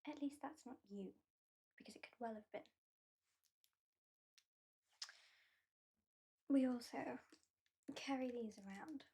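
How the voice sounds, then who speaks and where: A British woman voicing a haughty, mocking female vampire in an ASMR roleplay, soft-spoken and often whispering. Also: high voice, gravelly, husky, exaggerated voice. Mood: languid. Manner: soft-spoken, drifting into whispers, dryly sardonic. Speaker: ASMR performer.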